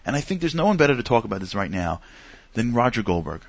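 Male interviewer, mid pitch, eager and quick with questions, muffled lo-fi audio. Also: very animated. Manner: eager, rapid-fire questions. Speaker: show host. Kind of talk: broadcast interview hosting. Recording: dull lo-fi broadcast audio.

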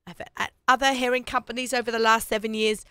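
Hoarse lady hosting a radio show, bubbly, talks a lot, kinda high voice, dry mic. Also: little pitch movement, quick pace, bright, forceful tone, dry recording. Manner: enthusiastic, chatty, laughs often. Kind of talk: casual radio chat. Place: radio studio.

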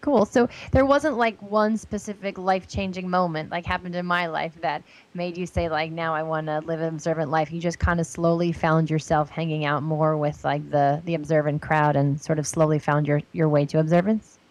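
A woman's voice: sits low, steady tone, normal speed, a little mellow, rough recording. A woman hosting a casual podcast interview, earnest and speaking with many "um"s and "like"s. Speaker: podcast host.

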